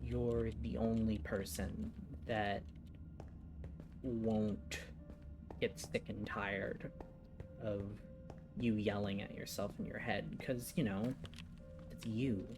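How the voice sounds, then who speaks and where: Soft-spoken young Midwestern man. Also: on the low side, little pitch movement, slow, pauses often. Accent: Midwestern American. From United States. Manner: soft, reflective, rambling with frequent "you know". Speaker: gaming vlogger. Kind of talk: casual confessional chat while playing. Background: loud game music.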